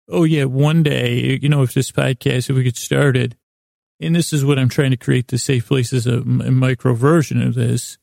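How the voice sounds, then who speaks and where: A man hosting a sleep podcast in a rambling bedtime monologue, halting and stumbling over his words, repeating himself and losing his thread, with a gravelly voice. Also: mid-range voice, mellow, no echo, studio quality. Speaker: podcast host.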